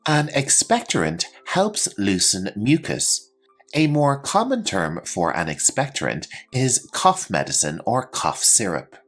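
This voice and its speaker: A man with a low voice narrates a scripted educational lesson. His pitch moves in a very lively way as he explains terms like a teacher. Music plays along with the voice. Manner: clear, instructional, explaining terms and definitions. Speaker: English teacher presenting a medical vocabulary lesson.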